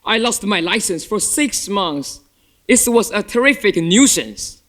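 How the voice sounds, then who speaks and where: A man's voice: high-pitched, lively intonation, unhurried, a bit bright. A young male student acting a brash, cynical, unrepentant guest in an amateur stage murder mystery. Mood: flippant. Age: teenager.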